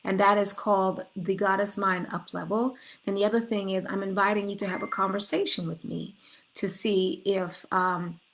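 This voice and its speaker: A woman's voice: mid-range voice, steady tone, moderate pace, vocal fry, poor audio. A middle-aged woman coach giving an earnest, spiritual motivational talk, inviting listeners to come forward, with frequent restarts. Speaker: life coach. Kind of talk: motivational direct-to-camera talk.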